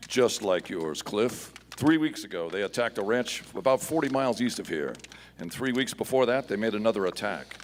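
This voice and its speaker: A man acts a masked western hero with a low, measured, authoritative voice. It is old-time radio acting, live in a reverberant hall with clear background noise. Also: expressive, lightly acted. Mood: grave, assured. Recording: echoey hall, noisy live stage recording.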